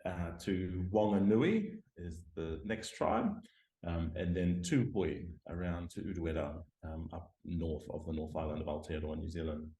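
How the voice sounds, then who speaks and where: Australian-born academic man, deep voice, halting and reflective, roomy video-call sound. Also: fairly even intonation, mellow. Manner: hesitant, many ums, self-deprecating. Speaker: guest lecturer and scientist. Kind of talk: reflective interview talk. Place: home room by a window.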